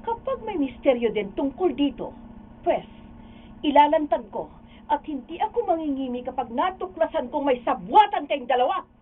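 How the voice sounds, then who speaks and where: A woman's voice: high-pitched, very animated, normal speed, far from the mic. A woman playing an indignant, principled wife in a Filipino radio drama, emphatic and scolding, with a Filipino accent. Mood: accusing, stern. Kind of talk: amateur radio drama acting. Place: radio recording studio. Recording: muffled phone-like audio with background noise.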